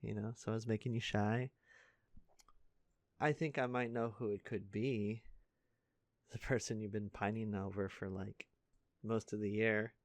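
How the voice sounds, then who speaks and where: A man playing an earnest, caring high school senior in an intimate audio roleplay, speaking casually and haltingly to a best friend. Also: flat delivery, no echo. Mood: teasing, coaxing. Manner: casual, halting, stammering, conversational.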